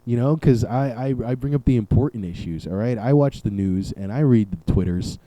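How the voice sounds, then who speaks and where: A young man talks by himself on his podcast, his voice very dark and mellow. He rambles through sarcastic jokes and swears freely. The recording is dry and close, with no room echo. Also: medium pitch, fairly quick. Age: young adult. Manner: sarcastic, rambling, profane riffing. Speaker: podcast host. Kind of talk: solo comedic podcast rant. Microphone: camera and podcast mic.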